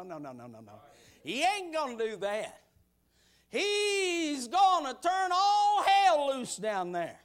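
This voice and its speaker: A man in his sixties preaches in a strikingly high, swooping voice. He builds repeated lines into shouted bursts of praise, then falls back to quiet, folksy asides. He takes his time, stretching out the big words. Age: 60s. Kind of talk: sermon.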